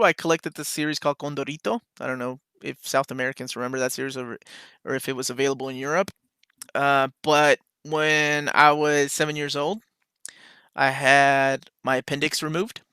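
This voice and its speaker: A man from Peru hosts a casual live chat from home, reading out questions and answering with easy enthusiasm. His voice is bright and forward, pitched a little high for a man. The sound is dry and close, with almost no room behind it. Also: clear, resonant tone, no echo. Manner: relaxed and chatty, reads viewer questions aloud and answers with enthusiasm. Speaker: comics channel host.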